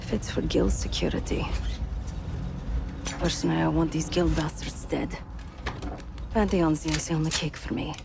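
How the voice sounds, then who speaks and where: Casting: cool-headed female ex-criminal assassin, video game, gravelly edge, clipped commands, noisy backdrop with music. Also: sits low, steady tone, normal speed, pauses often. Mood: determined, wry. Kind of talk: video game cutscene acting.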